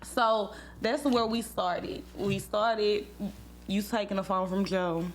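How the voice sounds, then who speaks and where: A woman in her late twenties hosts a casual chat in a medium-pitched voice. She is informal and playfully teasing as she banters and tells stories. The recording carries clear background noise and a hum. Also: fairly even intonation, takes it slowly, rough recording. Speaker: podcast host. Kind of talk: casual couple banter and storytelling.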